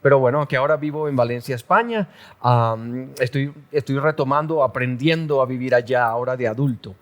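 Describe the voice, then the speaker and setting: A middle-aged man from the Canary Islands, a pastor telling his life story in a relaxed interview, talking with many restarts and little jokes. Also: mid-range voice, a little fast. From Canary Islands, Spain. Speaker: pastor and guest. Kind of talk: casual testimony interview.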